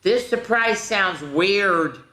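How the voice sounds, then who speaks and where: An eager young girl donkey, played by a woman reader, high-pitched and full of curiosity. The delivery is exaggerated picture-book storytelling, heard in a roomy, echoing space. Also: drawn-out words, heavily acted. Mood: puzzled, curious. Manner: excited, questioning, exaggerated for children.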